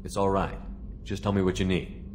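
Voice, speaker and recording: An actor playing a direct, businesslike military commander in a video game, speaking plainly and asking pointed questions. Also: male voice, low-pitched, fairly even intonation, moderate pace, background noise, lightly acted. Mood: probing, concerned. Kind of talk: video game dialogue acting.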